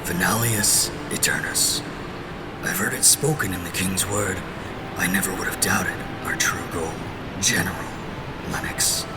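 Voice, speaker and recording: A man's voice: low voice, unhurried, far from the mic, loud music behind the voice. A man playing a loyal but cowed royal guard in a fantasy drama, speaking in an earnest, dutiful way. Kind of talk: scripted fantasy audio drama dialogue.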